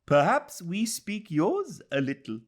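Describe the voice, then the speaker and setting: A man performs a courteous lady in a classic novel. The voice is high and lilting, shy yet agreeable. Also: wildly swooping pitch, slow pace, heavily acted. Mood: shyly proud.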